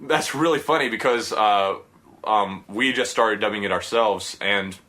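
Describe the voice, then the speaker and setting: A man hosting a casual podcast interview, eager and chatty, quick to laugh. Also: lowish voice, bright, forceful tone.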